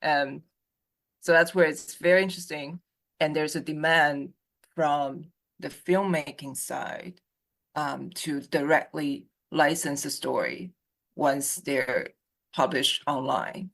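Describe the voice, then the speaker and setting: A copyright consultant with a gravelly, creaky voice talks in a casual group chat. Their speech is halting, full of restarts and fillers. The pitch sits in a middle range. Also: fairly even intonation, fairly bright tone.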